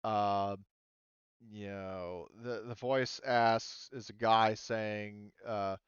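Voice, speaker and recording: A man narrating a tabletop roleplaying game as game master, improvising in a halting way with many pauses. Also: lowish voice, fairly even intonation, clear, resonant tone, no echo, muffled recording.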